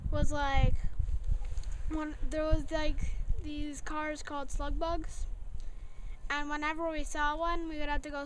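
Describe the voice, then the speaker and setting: Little kid giving a casual review, really high voice, keeps searching for words, some noise behind. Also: fairly bright tone. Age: child. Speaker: young guest reviewing a show. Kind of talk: impromptu casual interview.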